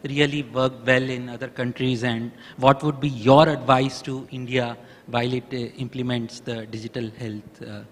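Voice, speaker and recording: Flat-voiced man moderating a panel. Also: medium pitch, moderate pace. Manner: hesitant, many fillers while framing a question. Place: large conference hall. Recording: reverberant hall with faint background noise.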